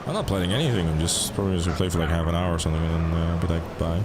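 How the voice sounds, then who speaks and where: A man's voice: low voice, racing, very noisy. A man streaming a video game, chatting casually in a rambling, joking way with plenty of fillers. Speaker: gaming streamer. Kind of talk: casual chat over gameplay. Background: game music and sounds.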